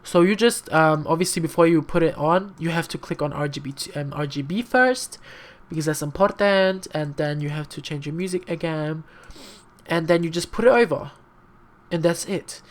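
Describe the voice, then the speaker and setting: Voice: medium pitch, moderate pace. A young adult whose first language is not English, talking through a casual screen-recorded tutorial in a rambling, chatty way, with many fillers and frequent apologies. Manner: frank, slightly sassy asides. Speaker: tutorial maker.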